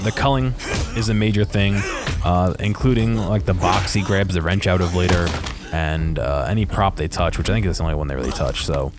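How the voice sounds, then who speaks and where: A man with a low voice talks through game footage as its video creator. His commentary is casual and unscripted, with a halting, hesitant flow. Music plays behind him.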